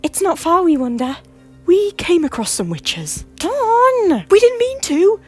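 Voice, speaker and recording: A woman playing a driven young woman, high and lively. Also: a little slow, faint music behind, full character voice. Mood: urgent. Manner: urgent and pleading, with sudden loud outbursts. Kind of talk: amateur audio drama acting.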